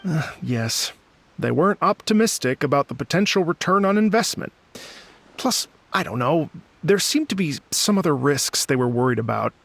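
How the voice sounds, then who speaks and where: A man voicing a thoughtful, polite musician in a video game, speaking in a measured, courteous, gently hesitant way. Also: fairly high pitch, expressive, vocal fry, heavily acted. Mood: measured, tactful. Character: thoughtful, polite male musician, calm storyteller.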